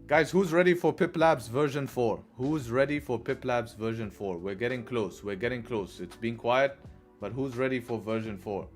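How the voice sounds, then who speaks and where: A man's voice: medium pitch, moderate pace, soft background music. A man from England hosting a live trading stream, chatting casually, repeating phrases and answering questions from chat. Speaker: livestream trading host.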